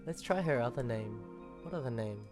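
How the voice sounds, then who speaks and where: A woman's voice: rumbling low, lively intonation, normal speed. A woman giving casual, amused commentary as she plays through a game. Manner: amused, giggly. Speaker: game streamer. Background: game music.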